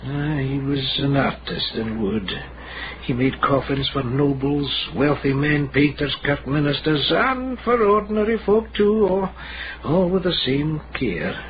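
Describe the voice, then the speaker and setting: An actor playing a weary, kindly old Scottish coffin maker in a radio drama, with halting, wryly morbid talk. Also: male voice, fairly high pitch, animated. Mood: admiring, amused. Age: older. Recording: dull, noisy radio drama recording.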